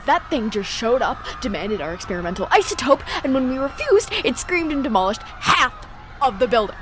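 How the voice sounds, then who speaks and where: A woman's voice: high-pitched, big pitch swings, brisk, noisy background. A woman playing an alarmed, indignant young scientist in an audio drama, urgent and agitated.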